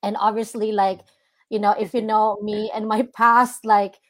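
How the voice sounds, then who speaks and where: A woman's voice: mid-range voice, flat delivery, unhurried, no echo. A woman, sharing an earnest personal testimony as a guest minister, full of "like" and "you know". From Philippines.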